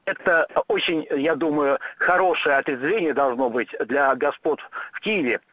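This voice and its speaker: A man's voice: fairly high pitch, fairly bright tone, no echo. Emphatic man, dry close sound. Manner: firm, emphatic statements with frequent "uh" pauses. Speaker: radio interview guest. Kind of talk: radio interview answers.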